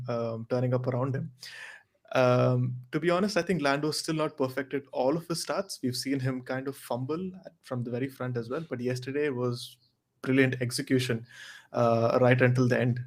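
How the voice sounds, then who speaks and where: A man on a podcast panel giving casual motorsport analysis in a measured, explanatory way. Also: mid-range voice, darkish tone.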